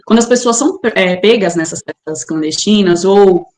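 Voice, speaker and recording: A woman's voice: medium pitch, normal speed, darkish tone, reverberant. A Brazilian woman with a Brazilian Portuguese accent, chatting as an interview guest over a video call.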